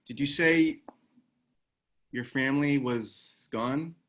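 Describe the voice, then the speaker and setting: A wry former military man, played by an actor at a table read, talks in a mid-pitched voice. He is easygoing, laconic and protective, in a naturalistic screen-drama style. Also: slow pace, fairly bright tone. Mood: subdued, sympathetic.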